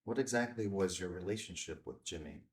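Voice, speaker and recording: An actor playing a guarded big-city reporter, hesitant and probing, in a naturalistic screenplay read. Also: male voice, low-pitched, brisk, lightly acted.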